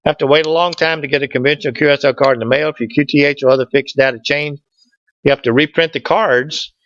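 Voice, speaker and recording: A man's voice: fairly high pitch, fairly even intonation, fast, fairly bright tone. Folksy man presenting, dull lo-fi sound. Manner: folksy, joking with the audience. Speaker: club presenter. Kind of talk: informal slide presentation with audience back-and-forth. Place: meeting room, standing at a lectern indoors. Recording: dull, lo-fi room recording.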